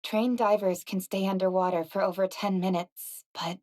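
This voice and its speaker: An actress voicing a cautious adult woman in a game cutscene, speaking in a measured way. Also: medium pitch, fairly even intonation, darkish tone, lightly acted. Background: game music.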